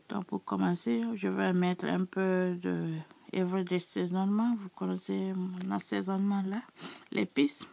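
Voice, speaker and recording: A woman with a sore, hoarse throat, chatting casually to her subscribers as she walks through a recipe in her kitchen. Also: mid-range voice, dark tone. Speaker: cooking channel host. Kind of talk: casual cooking tutorial.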